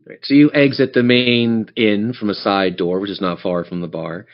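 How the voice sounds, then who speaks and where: A man's voice: fairly low pitch, steady tone, moderate pace. A man running a tabletop role-playing game, narrating scenes in a descriptive, theatrical storyteller's manner. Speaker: game master. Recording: dull lo-fi audio.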